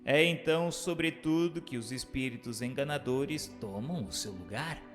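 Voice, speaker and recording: Formal male narrator in long reverb. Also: medium pitch. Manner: formal, measured, didactic. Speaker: narrator and questioner. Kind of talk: scripted narration of a religious text. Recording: hall-like reverb, music bed.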